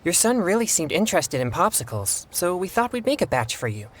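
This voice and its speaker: An actor playing a polite, earnest young exorcist in a video game, with formal, measured phrasing. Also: male voice, high-pitched, big pitch swings. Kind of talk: video game character dialogue.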